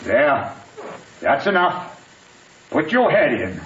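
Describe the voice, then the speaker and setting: An actor playing a stern, wary Southern patriarch of about sixty in an old radio drama, with commanding, folksy country speech. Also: male voice, a little high, sing-song, slow pace, a bit bright, exaggerated voice. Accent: American Southern. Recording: dull, hissy old radio broadcast.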